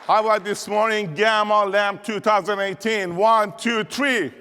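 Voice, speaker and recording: Man with a Persian accent, motivational keynote speaker, rallying a crowd, fairly high voice, echoing hall. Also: fairly even intonation, unhurried, noisy background. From Iran. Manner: rallying, call-and-response with audience. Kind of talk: energetic keynote speech. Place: large conference hall. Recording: reverberant hall.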